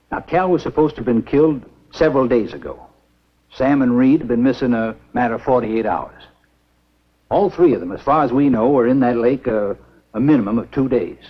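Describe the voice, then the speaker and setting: A man acting a grave, stubborn small-town American doctor in a 1950s B-movie, with a husky, deliberate, emphatic delivery. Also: mid-range voice. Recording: dull, muffled 1950s film soundtrack.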